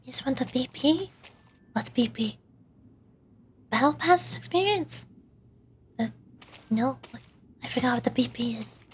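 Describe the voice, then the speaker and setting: A woman's voice: high-pitched, expressive, a little slow, pauses often, a little hiss or hum. A woman livestreamer chatting casually while playing a video game. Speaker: livestreamer, vtuber. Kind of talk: casual gaming livestream chat.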